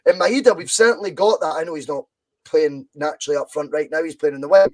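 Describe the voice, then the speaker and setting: A man's voice: fairly high pitch, fast. A Scottish man hosting a podcast, talking football with passion and repeating points for emphasis. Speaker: podcast host. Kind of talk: casual football chat.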